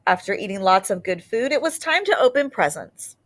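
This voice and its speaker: A woman reads a children's picture book aloud as a storyteller, with animated delivery and drawn-out emphasis on key words. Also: medium pitch.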